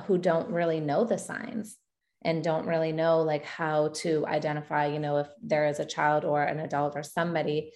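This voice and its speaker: A woman's voice: low-pitched, darkish tone. A woman hosting an interview podcast, introducing her guest and affirming what she says in a friendly way. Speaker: podcast host.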